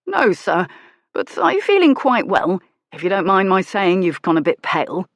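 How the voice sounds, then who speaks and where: A woman's voice: medium pitch, very animated, moderate pace, dry recording, studio quality. A woman voicing a deferential male coach driver in a period storybook. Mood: concerned. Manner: polite, deferential.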